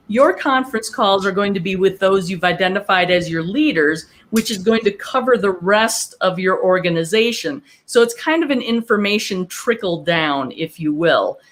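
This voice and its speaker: A woman speaks as a podcast co-host in an instructional business style. Her voice sits at an average pitch. She explains in a measured, orderly way, adding small clarifying asides as she goes.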